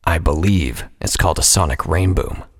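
A man voicing a terse, stern male pony captain in a story, with a gravelly, husky voice. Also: a bass voice, moderate pace, in a large hall, voice pushed down.

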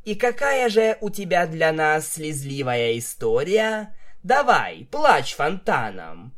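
A reader voicing a brash, reckless vampire unicorn mare who shouts threats, in a fan audiobook. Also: medium pitch, very animated, slow. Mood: mocking.

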